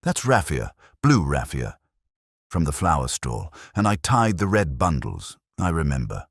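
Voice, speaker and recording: A man's voice: rumbling low, sing-song, measured pace, breathy edge, no room sound, clean studio sound, heavily acted. Man as a murmuring, observant grocer's wife. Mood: quietly certain. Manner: murmuring, precise.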